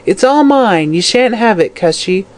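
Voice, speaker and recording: A shrill, high-pitched old hag from a storybook , performed by a woman narrator, dying and terrified. She wails, pleads and curses with harsh, greedy desperation, her voice pitched far up. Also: expressive, a little slow, darkish tone, lightly acted. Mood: furious greed. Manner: wailing, pleading, cursing.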